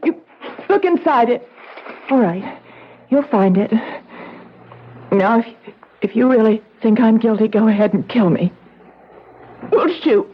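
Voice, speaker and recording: A frantic, tearful young wife who pleads in a high, breathless voice with slow, halting words. The sound comes through a dull, muffled vintage radio broadcast. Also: female voice, animated, slightly rough. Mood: desperate. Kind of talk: 1970s radio drama acting.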